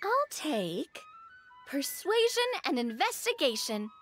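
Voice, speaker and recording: A woman's voice: high-pitched, very animated, slow pace, a bit bright, faint music behind, exaggerated voice. A woman voicing a confident young veteran team leader in a game.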